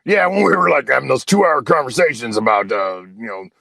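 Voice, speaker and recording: A man's voice: mid-range voice, big pitch swings, a little fast, vocal fry, a crowd in the background. A man in his fifties chatting candidly on a call-in show, rambling, repeating himself and swearing freely. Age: 50s. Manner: rambling, repetitive, profane. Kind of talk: casual, candid chat.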